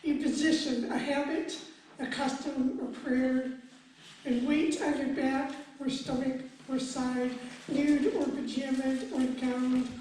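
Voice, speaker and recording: A woman reading poetry aloud at a live event with measured, deliberate phrasing. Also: high voice, mellow. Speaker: poet reading her work. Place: book launch event in an echoey room. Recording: echoey room, distant mic, faint noise.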